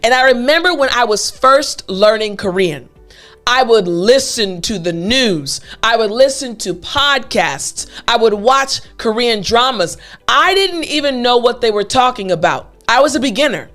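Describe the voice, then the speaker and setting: A woman teaching an English lesson, speaking straight to her learners in an upbeat, emphatic way with deliberate enunciation. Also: a little high, lively intonation, fairly bright tone, soft background music. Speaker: English teacher with an online academy. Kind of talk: upbeat scripted lesson talking to viewers.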